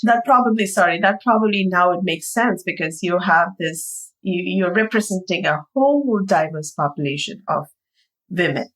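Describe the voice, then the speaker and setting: A woman's voice: on the low side, expressive, normal speed, fairly bright tone, low-quality recording. A woman hosting a podcast, chatty, asking long rambling questions. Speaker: podcast host. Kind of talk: casual interview chat.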